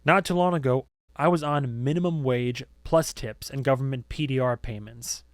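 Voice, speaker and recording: A man's voice: medium pitch, normal speed, dry recording, studio quality, close to an everyday voice. Man voicing a wry, exasperated young woman. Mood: composed, frank. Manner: sarcastic, blunt, casual. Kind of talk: audiobook character acting.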